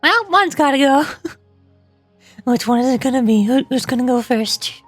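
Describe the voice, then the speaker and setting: A woman's voice: sits high, expressive, normal speed, no room sound. A woman streaming a game, joking and stammering playfully as she riffs in commentary. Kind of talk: livestream game commentary with read-aloud dialogue. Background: music.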